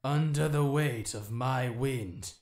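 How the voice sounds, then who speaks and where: A man's voice: medium pitch, slow pace, a little mellow, echoey room. A man voicing a solemn, noble god of wind from a video game, grave and formal. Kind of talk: fan impression of video game deity.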